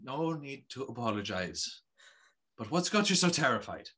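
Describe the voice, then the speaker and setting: A kindly, authoritative gentleman of the house speaks in formal audio drama style. His voice is bright and forceful with a husky edge, rising and falling expressively. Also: medium pitch, sing-song, moderate pace, heavily acted. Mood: courteous concern. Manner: polite, formal, commanding.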